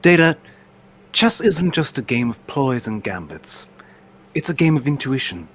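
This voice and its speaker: A man's voice: mid-range voice, sing-song, measured pace, breathy edge. A man playing a confident, playful female ship's counselor in an amateur radio drama, teasing and self-assured. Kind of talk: amateur radio theatre reenactment.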